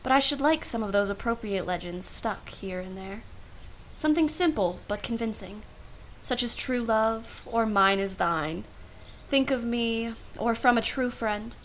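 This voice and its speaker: A woman's voice: on the high side, unhurried, slight background noise, close to an everyday voice. A woman playing a wry, genteel aunt in a radio play, musing in an arch, formal way. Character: wry, genteel aunt, self-professedly unsentimental.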